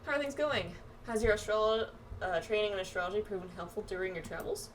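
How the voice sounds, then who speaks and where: A woman voicing a wistful, gentle young clanswoman from a fantasy game, reading the dialogue aloud a little haltingly. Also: medium pitch, far from the mic, slight background noise, lightly acted, rough recording. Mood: curious, teasing.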